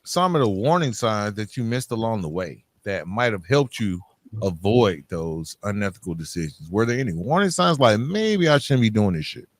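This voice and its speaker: A man's voice: on the low side, big pitch swings, normal speed, dry recording. A man hosting a podcast, interviewing in a casual, informal and slangy way. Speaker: podcast host.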